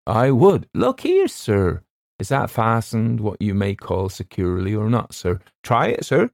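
A man's voice: on the low side, sing-song, unhurried, vocal fry, darkish tone, no echo, studio quality, heavily acted. A man voicing a nervous, working-class male ship's steward who speaks servilely and says "sir" constantly. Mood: pleading.

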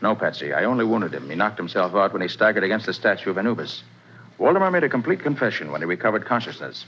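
A man in a 1940s radio play acting a confident detective who lays out the case in brisk, self-assured explanations. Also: on the low side, very animated, fairly bright tone, a little hiss or hum. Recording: dull old radio broadcast.

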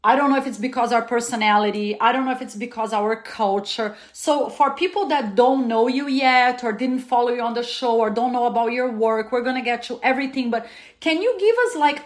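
A woman, hosting a casual podcast interview in an animated way and laughing often. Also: a little high, moderate pace. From Latin America. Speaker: podcast host.

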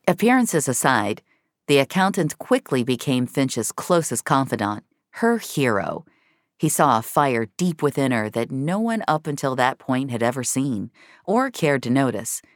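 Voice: medium pitch, no echo, clean studio sound. A narrator reading scripted audio fiction in a wry, hard-boiled storytelling manner.

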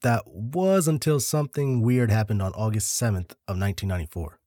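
A man co-hosting a podcast, telling a story in a laid-back, deliberate way with dry jokes, a little short of breath. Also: lowish voice, mellow, no echo. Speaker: podcast co-host.

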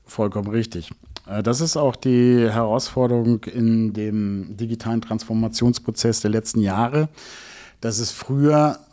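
Mellow middle-aged man explaining haltingly. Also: on the low side. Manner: halting, deliberate, formal wording. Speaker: interview guest, music label executive. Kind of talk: explanatory interview talk.

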